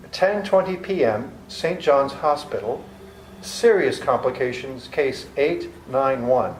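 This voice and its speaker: A man narrating journal entries as a worried young doctor in a live radio play, measured in narration and anxious in scenes. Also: medium pitch, lightly acted. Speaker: narrator and lead actor. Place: library hall with live audience. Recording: echoey hall with faint room noise.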